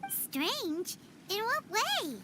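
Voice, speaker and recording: A woman voicing a small, excitable, childlike fairy companion in a video game, with a squeaky voice and exclamatory delivery. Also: extremely slow, slight background noise, heavily acted. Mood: puzzled. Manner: exclamatory, speaks of self in third person.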